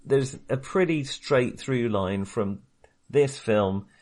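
A man co-hosting a film podcast in casual discussion, talking haltingly and often correcting himself. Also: medium pitch, darkish tone. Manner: hesitant, halting, self-correcting.

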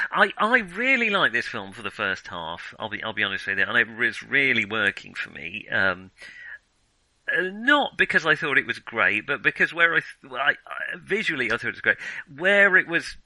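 A man co-hosting a casual film discussion, speaking in a hesitant, halting way with thoughts that trail off. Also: mid-range voice, big pitch swings, sharp tone, no echo, low-quality recording. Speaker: film podcast co-host.

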